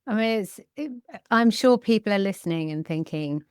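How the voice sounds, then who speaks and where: A woman's voice: mid-range voice, animated, normal speed, a little mellow, clean tone, no echo, clean studio sound. A woman hosting an interview, asking questions with enthusiasm. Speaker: podcast host.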